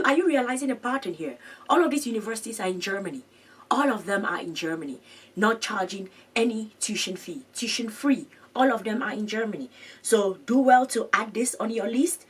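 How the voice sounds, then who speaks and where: Lively woman walking through web pages. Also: on the high side, breathy edge, far from the mic. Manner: chatty, animated, laughs at own pronunciation; repeats points for emphasis. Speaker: education channel host. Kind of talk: screen-share walkthrough of web pages.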